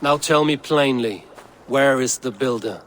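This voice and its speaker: An actor playing a wrathful Norse god in a video game, speaking in an imperious, suspicious, declamatory way. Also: male voice, medium pitch, a little slow, fairly bright tone, slight background noise, natural voice. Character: wrathful, commanding Norse god, male wanderer and warrior. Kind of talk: video game cutscene acting.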